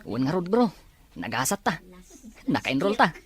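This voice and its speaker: A man's voice: a little high, sing-song, moderate pace. A man playing an eager young student from the provinces in an audio drama. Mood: curious, eager. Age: young adult. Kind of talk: acted audio drama dialogue.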